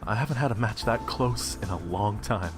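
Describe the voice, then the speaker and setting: A man's voice: on the low side, normal speed, over music, natural voice. An actor voicing a gracious, confident young male rival mech pilot in an anime-style video game. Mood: friendly, gracious.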